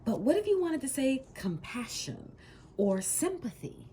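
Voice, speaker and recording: A woman's voice: high-pitched, sing-song, a little slow, warm tone, slight background noise. A woman teaching a lesson with questions to a student, encouraging and emphatic, drawing out key words.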